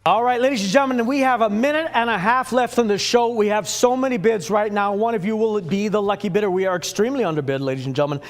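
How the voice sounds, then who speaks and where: Swedish-accented man, high-voiced auctioneer rattling off bids at speed, repetitive chant. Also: quick pace, few pauses. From Sweden. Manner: repetitive rhythmic bid chant. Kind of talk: rapid auction calling.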